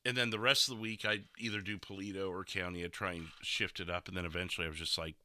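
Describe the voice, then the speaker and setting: Deep, bright-voiced man chatting casually. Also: flat delivery, quick pace, clean tone. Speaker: livestream podcast host. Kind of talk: casual chat over replayed gameplay.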